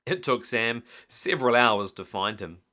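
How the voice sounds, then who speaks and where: A man's voice: medium pitch, expressive, a little slow, sharp tone. A man reading a children's bedtime story aloud expressively, as a storyteller.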